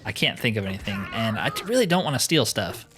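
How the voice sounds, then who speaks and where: A man's voice: lowish voice, sing-song, quick pace, pushed and bright, a little hiss or hum. A man giving casual, chatty let's-play commentary, often explaining himself in asides. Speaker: host of a gaming playthrough.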